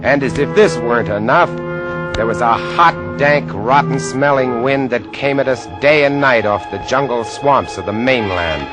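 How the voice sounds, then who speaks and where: A man's voice: mid-range voice, music in the background, lightly acted. A man playing a lighthouse keeper who narrates his tale in vintage radio drama, dramatic and savoring each phrase. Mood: ominous, vivid. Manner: dramatic, savoring, measured emphasis. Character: male lighthouse keeper recounting a horror, brooding, vivid. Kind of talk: 1950s radio drama first-person narration. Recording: vintage 1950 radio broadcast.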